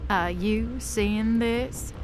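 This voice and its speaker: Female demon boss played by a woman, audio drama: slow, taunting drawl, fairly high, theatrical and gleeful. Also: faint music behind. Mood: sly, commanding.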